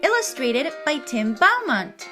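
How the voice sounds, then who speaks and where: A woman's voice: high voice, very animated, measured pace. A woman narrating a children's read-along story, with music behind her.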